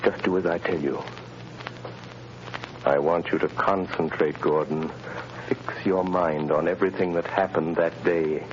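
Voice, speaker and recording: A man playing a mysterious invisible crime fighter, speaking in measured, authoritative commands in 1930s radio drama style. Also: low voice, fairly even intonation, highs cut off. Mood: commanding. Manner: measured, hypnotic, authoritative instructions. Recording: scratchy, hissy 1930s radio broadcast.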